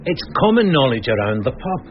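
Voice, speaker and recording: An actor playing a loyal, matter-of-fact police sergeant who tells things with wry understatement in a radio crime drama. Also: male voice, medium pitch, sing-song, brisk, natural voice. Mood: wry gossip. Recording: muffled radio drama, faint noise.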